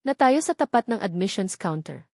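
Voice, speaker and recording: A woman's voice: mid-range voice, big pitch swings, moderate pace, darkish tone, no room sound, clean studio sound. A woman reading a scripted romantic drama story as its narrator.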